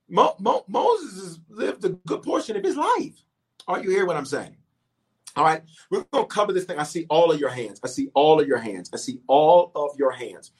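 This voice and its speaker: A middle-aged male pastor and bishop preaching a livestreamed sermon, swinging from quiet asides to sudden emphatic peaks, repeating phrases and calling out to online viewers. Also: high-pitched. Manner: talks directly to online viewers, calling for waves and shout-outs.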